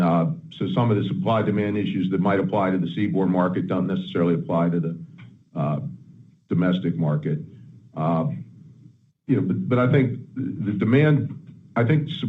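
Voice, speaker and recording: A man speaking as a company executive on an earnings call, answering in a measured, guarded, halting way. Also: sits low. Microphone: telephone conference line. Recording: narrow, tinny conference phone line.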